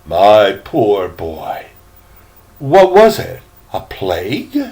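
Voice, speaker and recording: Man putting on a genteel old yankee gentleman from a storybook, nostalgic, slow, goes up and down a lot. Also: lowish voice, big pitch swings, voice pushed down, heavily acted. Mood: horrified, pitying. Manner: old-fashioned, genteel phrasing.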